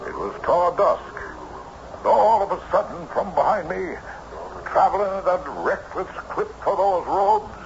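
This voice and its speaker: An actor playing a courtly, mysterious merchant who tells an eerie tale formally, in the style of radio drama. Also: male voice, sits high, expressive, a little slow, vocal fry, exaggerated voice. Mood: hushed storytelling. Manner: formal, storytelling. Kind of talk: 1970s radio drama acting. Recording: noisy, muffled old radio broadcast.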